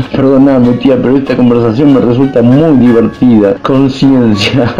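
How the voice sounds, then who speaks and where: A man's voice: mid-range voice, slow pace, mellow, dull audio, faint music behind, lightly acted. A man playing an amused, easygoing young nephew with an Argentine accent, teasing expressively in a radio comedy.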